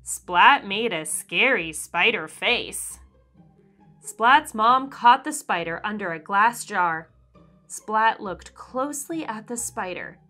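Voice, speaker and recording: A woman reading a children's storybook aloud in an expressive, deliberate storyteller style. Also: mid-range voice, soft background music. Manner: animated, deliberate storyteller delivery. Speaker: children's read-aloud host. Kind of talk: expressive scripted storybook narration for kids.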